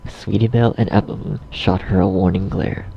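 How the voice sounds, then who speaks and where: A man's voice: low-pitched, fairly even intonation, fairly quick, gravelly, slight background noise. A man narrating a scripted story, recorded slightly dull. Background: faint music.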